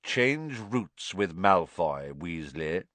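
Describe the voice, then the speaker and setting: A man's voice: deep voice, sing-song, drawn-out words, a bit bright, dry recording, exaggerated voice. A man reading a storybook as a cold, contemptuous schoolmaster with an idle, dangerous manner. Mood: cold menace. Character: cold, menacing male schoolmaster, contemptuous and unfair.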